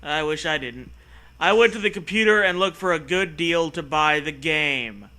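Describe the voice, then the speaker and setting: A man's voice: a little high, normal speed, sharp tone. A man hosting a comedy show, reading a story aloud mockingly with sarcastic, profane, theatrical asides.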